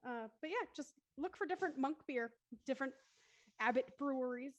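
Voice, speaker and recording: A woman's voice: high-pitched, a little fast, dry recording, muffled recording. An American woman chatting casually on a panel, talking in an explanatory way. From United States. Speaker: talk show panelist.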